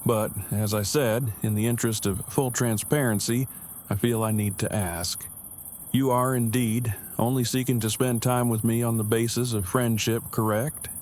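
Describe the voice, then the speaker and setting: A man's voice: mid-range voice, fairly even intonation, moderate pace, darkish tone, a little hiss or hum, lightly acted. A man playing an awkward, earnest survivor in an audio drama, speaking in stiffly formal, long-winded, precise phrases. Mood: awkward, careful. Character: awkward, verbose, earnest male survivor and loyal friend. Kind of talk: fan audio drama acting.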